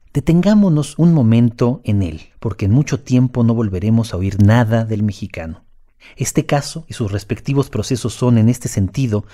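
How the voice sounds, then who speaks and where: A man's voice: fairly low pitch, warm tone. A man reading scripted documentary narration as co-narrator of an audio series.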